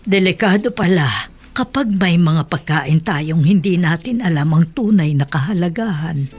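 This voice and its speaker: An actress playing a concerned, earnest middle-aged female relative in a radio drama, with a husky voice. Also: fairly low pitch, expressive, moderate pace, a little mellow, faint music behind. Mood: worried.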